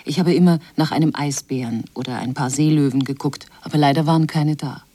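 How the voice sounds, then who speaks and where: A woman reading a German voice-over of a traveller's reflective account in a measured way for a radio feature. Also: low-pitched, dark tone, dry recording. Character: woman recalling her fascination with the Arctic, German voice-over. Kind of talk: read voice-over of translated testimony.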